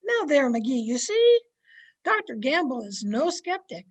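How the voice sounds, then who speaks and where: Lady doing chipper small town wife from an old radio show, high voice, polite but chiding. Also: sing-song, measured pace. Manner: polite, folksy, gently chiding. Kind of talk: old-time radio comedy acting. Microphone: video call microphone.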